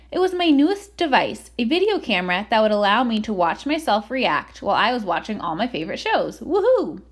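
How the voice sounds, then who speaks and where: A cheerful, self-satisfied couch potato tells its own storybook tale, voiced by a woman. The voice sits fairly high and swings up and down with playful drama. It is expressive and exclamatory, made for a picture book read-aloud. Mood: excited, dramatic. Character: cheerful, smug, comfort-loving couch potato telling its story.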